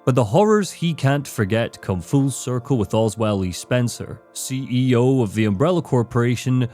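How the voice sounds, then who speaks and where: A man's voice: medium pitch, expressive, normal speed, warm tone. A man delivering scripted narration for a critical video essay, with dramatic, emphatic phrasing. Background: background music, guitar.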